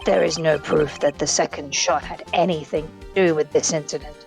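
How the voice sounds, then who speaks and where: A woman's voice: low voice, fairly even intonation, brisk, creaky, soft background music. A woman voicing a haughty, sneering male veteran prosecutor in a live amateur game dub, with a formal, condescending delivery.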